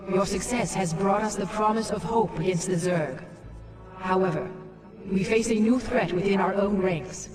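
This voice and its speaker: A man playing a solemn alien leader in a video game, speaking in a measured, ceremonious way. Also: high-pitched, lightly acted. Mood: solemn, concerned. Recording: echoing, distant, music behind. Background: music.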